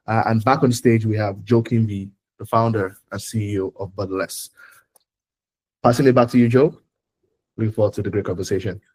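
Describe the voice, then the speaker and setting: A man's voice: fairly low pitch, a little mellow, low-quality recording. A man hosting an online launch event, introducing speakers in a loose, chatty way with frequent fillers. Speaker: event host.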